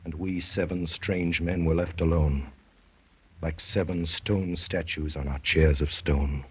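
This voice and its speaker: Husky, haunted poet narrator. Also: male voice, low-pitched, fairly even intonation, unhurried, full character voice. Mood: wistful, narrating. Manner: dramatic, rhapsodic, literary. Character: earnest, haunted poet-detective recounting his strange vision. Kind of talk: 1930s radio drama narration and acting. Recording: muffled, lo-fi 1930s radio recording.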